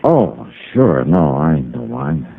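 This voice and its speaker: An actor playing a wry, probing insurance investigator in a 1950s radio drama, dry and questioning. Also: male voice, very deep voice, sing-song, slow pace, creaky, exaggerated voice. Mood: skeptical. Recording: lo-fi old radio broadcast.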